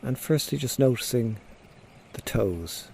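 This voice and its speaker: Man, mindfulness guide, relaxed dark timbre, gentle slow instructions, long pauses, light nature ambience with birds. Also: medium pitch, creaky, a little hiss or hum. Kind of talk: guided body scan meditation. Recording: faint outdoor nature sounds behind. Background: birds and nature sounds.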